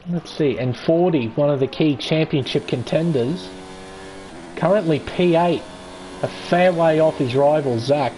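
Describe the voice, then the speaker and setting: A man's voice: medium pitch, dark tone, a little hiss or hum, poor audio. An Australian man giving chatty, enthusiastic live play-by-play commentary on an online racing league qualifying session. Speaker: esports race commentator.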